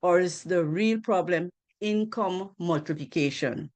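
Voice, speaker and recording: A woman's voice: on the low side, unhurried, a little mellow. A woman from Guyana giving a financial literacy presentation on a group video call, earnest, asking rhetorical questions and repeating key points.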